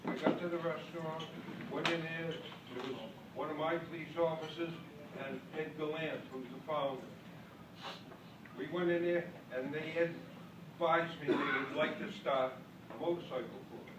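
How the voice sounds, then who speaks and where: An older man with a Maine accent tells a story to a convention crowd in a folksy, self-deprecating way. His voice sits a little high. He is heard from a distance in an echoey hall, with loud noise underneath. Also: steady tone, normal speed. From Boston. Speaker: speaker at a club convention. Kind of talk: after-dinner speech, storytelling. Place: large hall with an audience.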